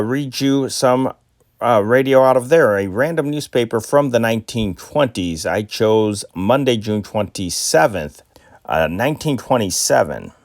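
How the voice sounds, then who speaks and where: A man's voice: medium pitch, dry recording, clean studio sound. A man hosting an amateur channel, chatting with many fillers and then reading old newspaper stories aloud. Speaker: host.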